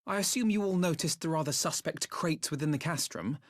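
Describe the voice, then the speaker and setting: A man's voice: a little high, fairly quick, dry recording, studio quality, lightly acted. An actor playing an earnest, observant young man in a dramatic game scene, articulate and polite. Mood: thoughtful suspicion. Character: earnest, observant young male scholar, brother.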